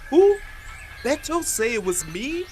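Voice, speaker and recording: A man's voice: extremely high pitch, sing-song, a little slow, a little hiss or hum, heavily acted. A man with a Caribbean accent playing a feisty, indignant gardener and doting father in a stage drama. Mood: indignant, shocked.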